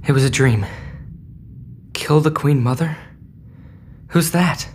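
A man's voice: fairly high pitch, slow pace, darkish tone. A man playing a puzzled teenage boy adventurer, thinking aloud in a sci-fi audio drama. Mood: curious, uneasy. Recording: long reverb, faint ambient noise.

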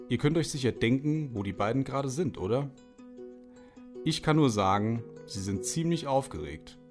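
A man narrating an audio drama for children, speaking directly to the young listeners. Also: medium pitch. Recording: music under the voice.

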